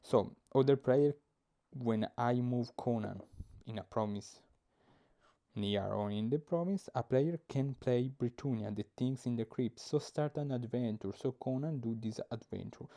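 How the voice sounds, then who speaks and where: A man's voice: mid-range voice, dark tone. A young man with an Italian accent, explaining board game rules in hesitant English, often stopping to search for words. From Italy. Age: young adult. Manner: halting, searching for words, many fillers.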